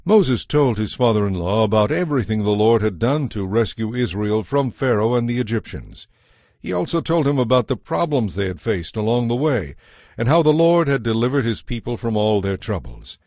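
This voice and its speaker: A man narrating a dramatized Bible from a script in a measured storytelling manner. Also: mid-range voice, big pitch swings, darkish tone, no echo, clean studio sound.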